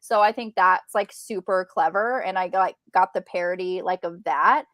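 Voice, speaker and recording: A woman's voice: fairly low pitch, moderate pace, fairly bright tone, no room sound. An American woman co-hosting a casual book chat, explaining conversationally with quoted asides. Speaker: book readalong co-host.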